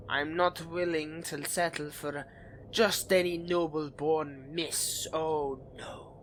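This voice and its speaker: A man's voice: on the high side, pushed and bright, slight background noise, rough recording. A man playing a suave, scheming vampire aristocrat in a dramatic roleplay addressed to the listener, with courtly, haughty, theatrical phrasing.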